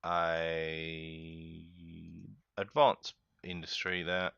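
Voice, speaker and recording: A deep-voiced man talks viewers through a game step by step, relaxed and chatty. His voice is bright and smooth, recorded dry on a slightly dull home mic. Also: drawn-out words, no room sound. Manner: relaxed, chatty, keeps addressing viewers as guys. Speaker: gaming tutorial host. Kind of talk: casual step-by-step game tutorial.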